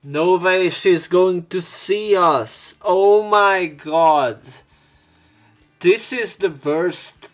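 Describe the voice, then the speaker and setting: A man's voice: high voice, extremely slow, faint music behind. A man reading a visual novel aloud as a let's play host, adding informal, sweary commentary.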